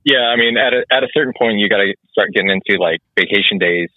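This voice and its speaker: A man in his mid thirties talks easily as a guest, pausing and filling gaps as he goes. His voice comes through a thin, phone-like call line. It sounds dry, with no room around it. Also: on the low side, dry recording. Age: 30s. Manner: easygoing, halting, many fillers. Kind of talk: casual chat.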